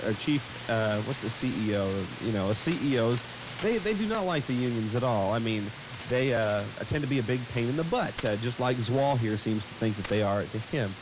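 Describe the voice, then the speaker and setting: Rambling male pirate-radio host, mid-pitched, casual, stumbling, heard through hissy shortwave. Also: muffled recording. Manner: rambling, hesitant with frequent stumbles. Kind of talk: casual talk show banter.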